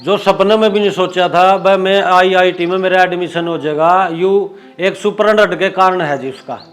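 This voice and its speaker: A man's voice: high-pitched, fairly even intonation, moderate pace, faint music behind. A man, a parent, talking in plain homely speech. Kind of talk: interview.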